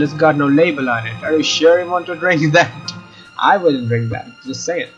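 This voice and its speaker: A man's voice: fairly high pitch, highs cut off. A man hosting a let's play, reading game text aloud with flippant, casual asides. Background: faint game music.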